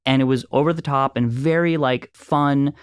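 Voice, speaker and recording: A man's voice: medium pitch, moderate pace, smooth, dry recording, studio quality. A man in his thirties from Los Angeles, a filmmaker telling stories in a casual chat and laughing easily along the way. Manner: animated storyteller, laughs easily. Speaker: filmmaker and producer. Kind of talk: casual conversation, storytelling.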